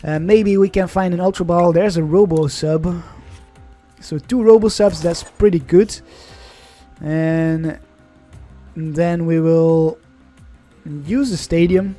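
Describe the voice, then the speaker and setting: A man's voice: a little high, warm tone. A young man whose first language is Dutch, casually commenting on an online card game in a chatty, rambling way, often correcting himself. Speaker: gaming channel host.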